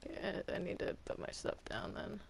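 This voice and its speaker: A person chatting casually while playing a video game with others, in a hesitant, halting way. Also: slight background noise. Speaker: video game player in a group roleplay.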